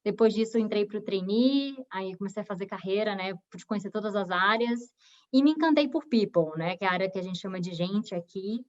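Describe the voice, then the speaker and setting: A woman's voice: medium pitch, fairly quick, a little mellow. Chatty Bahian woman on a lo-fi call. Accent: Bahian Brazilian Portuguese. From Bahia, Brazil. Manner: chatty, many fillers and restarts. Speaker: guest, recruiter. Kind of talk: webinar interview. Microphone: video call. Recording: dull lo-fi video call audio.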